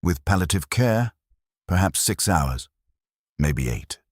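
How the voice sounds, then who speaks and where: A man's voice: sits low, sing-song, slow pace, dry recording, studio quality, pitched above the performer's own voice, exaggerated voice. A man voicing a grim, resigned military medical officer in a clinical, blunt and formal way for a war story. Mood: grave, resigned.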